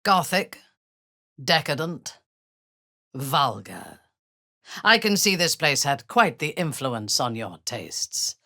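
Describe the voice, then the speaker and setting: An actress playing a haughty, ruthless female drow elf in a fantasy video game, speaking in a low, husky, measured and coldly menacing voice. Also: sing-song, pushed and bright, dry recording, clean studio sound, full character voice. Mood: cool contempt. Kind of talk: scripted fantasy game voice acting.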